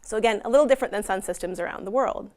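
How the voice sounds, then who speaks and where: A woman's voice: medium pitch, rapid-fire. A woman from Canada with a Canadian accent, giving an informational presentation in a friendly, explanatory way with frequent ums. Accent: Canadian English. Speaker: university outreach presenter.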